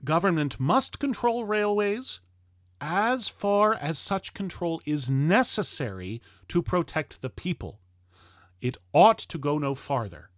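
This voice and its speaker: A man reading an old-fashioned political address aloud in a formal, declamatory and emphatic manner with deliberate phrasing. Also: on the high side, very animated, no room sound, studio quality. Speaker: reader of a historical political speech. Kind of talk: formal oratory, read-aloud 19th-century address.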